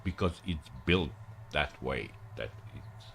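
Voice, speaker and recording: Deep slow man with Croatian accent. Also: gravelly, fairly bright tone, a little hiss or hum. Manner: rambling, many filler pauses, broken English grammar. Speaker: livestreamer. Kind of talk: casual live-stream chat with viewers.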